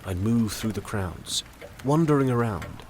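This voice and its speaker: An older doctor, worldly and unsentimental. He reasons drily in a low, measured voice. The audio drama has faint noise behind him. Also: lively intonation, a little mellow. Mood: wistful, musing.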